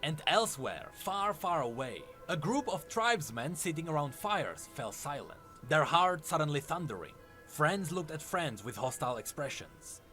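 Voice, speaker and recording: A man's voice: a little high, big pitch swings, normal speed, sharp tone, faint music behind. A man narrating a scripted story in a solemn, theatrical way. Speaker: lore narrator.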